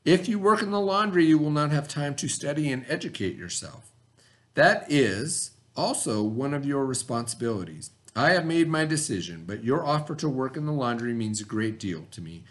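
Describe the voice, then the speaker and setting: A man's voice: mid-range voice, lively intonation, normal speed, lightly acted. Solemn, loving storybook father, read by a man. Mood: firm, tender. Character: wise, proud, loving immigrant father.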